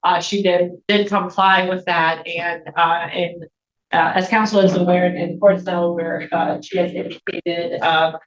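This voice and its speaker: An American woman speaking as a prosecutor in court, making a formal, halting argument to a judge. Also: fairly low pitch, level tone. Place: indoor courtroom. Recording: muffled, echoey courtroom audio.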